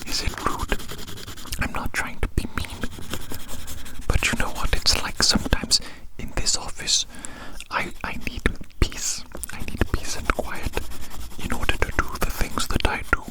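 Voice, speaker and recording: A woman whispering a rambling ASMR roleplay very close to the microphone, softly repeating her phrases in a breathy, unvoiced voice. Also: a little slow, low-quality recording. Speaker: ASMR performer.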